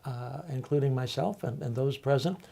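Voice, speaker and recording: Older man, warm and relaxed voice, reflective, pausing with many fillers. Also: medium pitch, vocal fry. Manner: thoughtful, halting, many ums and uhs. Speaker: panel guest, college faculty member. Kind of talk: reflective panel discussion.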